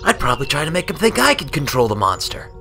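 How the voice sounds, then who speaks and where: A man's voice: on the high side, very animated, quick pace, bright, forceful tone, faint music behind, voice pushed up. A man voicing a brash, grudging young female pegasus pony adventurer in a narrated story, blunt in manner. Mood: curious, blunt. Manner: blunt, grumbling.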